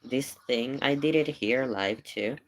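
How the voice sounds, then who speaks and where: A Chilean man, a native Spanish speaker with a creaky voice, chatting casually and haltingly in a rambling, informal livestream style. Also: medium pitch, a bit bright, slight background noise, rough recording. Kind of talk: casual livestream chat while crafting.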